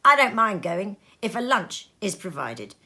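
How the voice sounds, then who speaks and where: A red-faced, greedy storybook gentleman, acted out by a woman reader. He is blunt and blustery, pushing his words out emphatically. The intonation swings widely. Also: medium pitch, big pitch swings, a little slow. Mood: greedy, emphatic. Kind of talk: storybook character reading.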